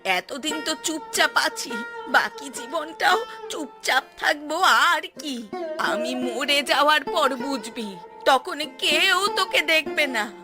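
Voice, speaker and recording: A woman's voice: high-pitched, animated, slow pace, music in the background. A woman voicing an exasperated, ageing village mother in a cartoon, nagging and sarcastic. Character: exasperated ageing village mother scolding her idle son. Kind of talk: cartoon voice acting.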